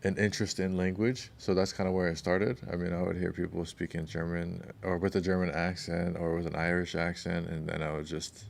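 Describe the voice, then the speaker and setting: A man with a very deep voice and a gravelly texture co-hosts a casual podcast. He tells long, wandering stories in a relaxed way and sometimes drifts off his point before finding it again. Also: brisk, few pauses. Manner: rambling, storytelling, loses his thread. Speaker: podcast co-host.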